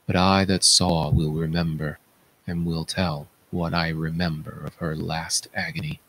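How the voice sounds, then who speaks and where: A man voicing a solemn palace attendant who recounts horrors in a declamatory stage-tragedy reading, with dramatic pauses. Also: rumbling low, little pitch movement, fairly bright tone, a little hiss or hum, voice pushed down, exaggerated voice. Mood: somber.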